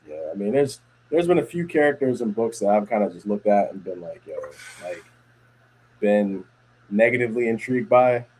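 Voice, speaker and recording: A man's voice: lowish voice, lively intonation, normal speed, mellow, breathy edge, low-quality recording. A man co-hosting a podcast from home, talking casually and rambling with many fillers and restarts. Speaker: podcast co-host. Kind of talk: casual chat.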